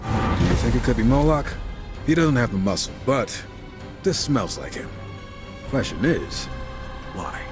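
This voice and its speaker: A man's voice: medium pitch, very animated, a little slow, pauses often, music in the background. An actor playing a cocky, wisecracking supernatural horseman in a video game, sarcastic and casual. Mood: wry. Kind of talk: video game cutscene acting.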